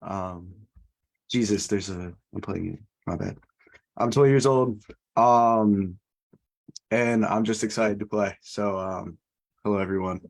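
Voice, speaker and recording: A man's voice: lowish voice, measured pace, creaky, long pauses, dry recording, low-quality recording. A man in his twenties introducing himself as a contestant, mumbling and a little awkward. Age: 20s.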